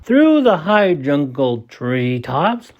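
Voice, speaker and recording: A man reading a children's story aloud as expressive audiobook narration. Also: fairly high pitch, slow, muffled recording. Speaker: storybook reader.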